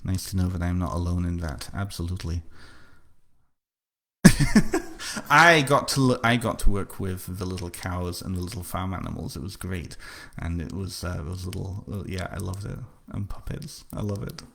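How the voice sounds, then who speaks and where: Author host, male, low register, faint North Welsh lilt, hesitant casual delivery. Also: vocal fry, sharp tone. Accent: slight North Welsh. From North Wales. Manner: halting, repeats words, many fillers. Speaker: author hosting a book launch livestream. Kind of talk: casual live stream talk, answering comments.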